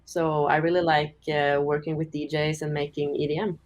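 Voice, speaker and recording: A young woman from Norway with a deep voice, chatting casually as a singer-songwriter in an interview, full of "like" fillers. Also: moderate pace. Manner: relaxed, chatty, many "like" fillers, giggles often.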